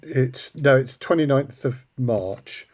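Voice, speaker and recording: A man from Seattle speaks at a medium pitch as a podcast guest. His delivery is deadpan and casual, with hesitant starts. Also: takes it slowly. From Seattle, United States. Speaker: audio drama co-creator, podcast guest.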